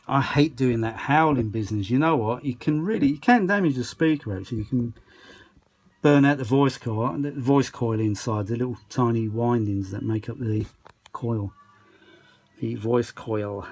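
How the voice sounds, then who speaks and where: A man with a British accent talks at a middle pitch in a casual, unscripted way. He presents like a hobbyist at the workbench, rambling along and muttering asides to himself as he goes. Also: moderate pace, darkish tone. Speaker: hobbyist repairer presenting a video. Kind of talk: casual unscripted running commentary while working. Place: home kitchen workshop. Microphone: handheld phone.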